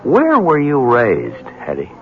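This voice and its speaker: A man's voice: medium pitch, huge pitch swings, slow pace. An actor playing a skeptical, earnest young newspaperman in a 1970s radio drama, placating in manner. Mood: earnest, awkward. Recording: dull, noisy 1970s radio broadcast.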